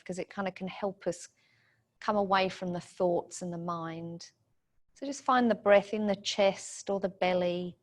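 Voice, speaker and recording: A woman leads a guided meditation, giving soothing, gentle instructions in short phrases. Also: medium pitch.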